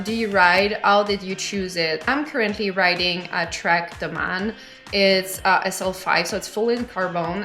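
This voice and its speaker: A woman's voice: mid-range voice, fairly bright tone. A woman in her twenties with a French Canadian accent, a native French speaker, chatting casually and unscripted as a vlogger answering questions. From Quebec, Canada. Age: 20s.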